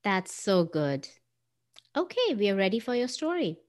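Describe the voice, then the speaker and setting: A woman hosting a podcast interview who introduces her guest in a measured way and slows down on the words she stresses. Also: medium pitch, very animated, a little mellow. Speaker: podcast host.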